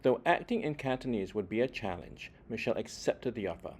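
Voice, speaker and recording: A man reading a children's story aloud expressively, with deliberate phrasing and dramatic emphasis. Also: mid-range voice, expressive, darkish tone, a little hiss or hum. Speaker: children's book reader.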